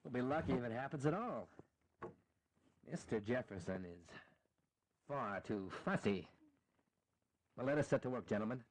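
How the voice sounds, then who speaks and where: An actor playing a confident, scheming eighteenth-century statesman with a measured, precise, courteous manner in a historical audio drama. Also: medium pitch, lively intonation, natural voice, poor audio. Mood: assured.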